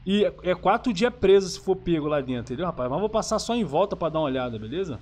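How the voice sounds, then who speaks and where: A man's voice: sits high, very animated, fast, a little mellow, a little hiss or hum. A Brazilian man giving casual, enthusiastic gameplay commentary full of slang, speaking into a gaming headset. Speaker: streamer, host of the channel.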